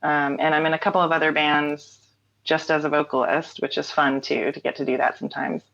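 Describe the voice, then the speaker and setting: A woman's voice: low-pitched, little pitch movement, a little fast, vocal fry, a bit bright, lo-fi sound. A woman in her late thirties, a singer-songwriter guest in an interview, talking thoughtfully with frequent "um" and "like" and easy laughter. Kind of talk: reflective conversational interview.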